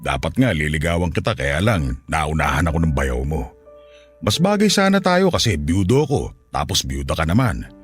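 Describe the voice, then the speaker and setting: An actor playing a lustful, coaxing middle-aged Filipino widower in a Tagalog radio drama. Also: male voice, rumbling low, sing-song, normal speed, breathy edge, faint music behind, exaggerated voice. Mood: smitten, confessing. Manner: suggestive, coaxing, chuckling seducer.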